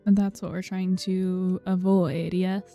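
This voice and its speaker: A woman's voice: lowish voice, flat delivery, a little slow, gravelly, dark tone, dull audio. A woman giving casual, playful commentary on a game playthrough, muttering to herself as she goes. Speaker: game streamer. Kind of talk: casual playthrough commentary, sometimes reading game lines aloud. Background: game music.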